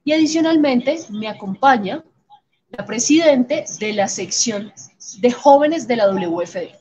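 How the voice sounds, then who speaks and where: A woman voicing a host's words in live interpretation, steady and upbeat. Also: fairly high pitch, measured pace. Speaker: sign language interpreter voicing the host. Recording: slightly muffled audio, faint background noise.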